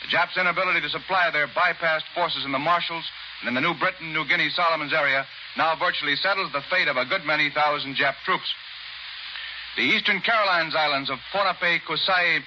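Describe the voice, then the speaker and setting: Through clear crackle and a muffled radio relay comes an American man with a higher-pitched voice. He reads a 1940s war dispatch formally and steadily. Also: a little fast. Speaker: war correspondent.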